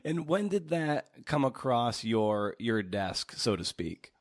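A man hosting a conversational interview podcast, halting and repeating words as he works through long questions. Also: mid-range voice, big pitch swings.

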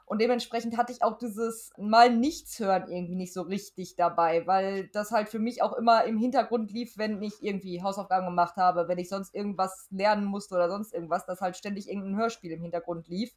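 A woman's voice: medium pitch, fairly even intonation, brisk, few pauses, a little mellow. A middle-aged woman chatting casually as a podcast guest answering questions. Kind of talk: casual chat.

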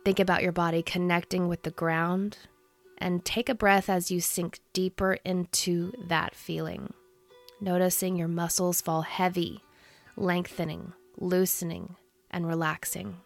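A woman in her thirties leading a guided meditation in a soothing, gentle, unhurried manner. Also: fairly low pitch, flat delivery, creaky, faint music behind. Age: 30s.

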